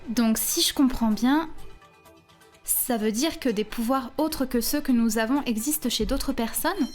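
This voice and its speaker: A woman voices a thoughtful teenage girl in an anime dub. She is composed and persuasive, in a fairly high voice. Light music plays behind her. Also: unhurried, lightly acted. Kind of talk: French anime fan dub acting.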